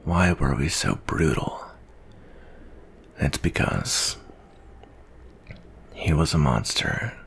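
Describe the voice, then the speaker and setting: A man playing a jaded, guilt-ridden monster-hunting soldier in an ASMR roleplay monologue, speaking in a slow, brooding, weary way with a gravelly, husky voice. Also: rumbling low, animated, fairly bright tone, slight background noise, exaggerated voice. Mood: somber, regretful.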